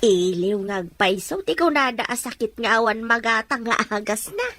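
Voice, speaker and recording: A woman playing a warm, chatty older mother in an audio drama, with an Ilocano accent and husky voice. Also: on the high side, expressive, moderate pace, slight background noise. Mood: merry, wistful.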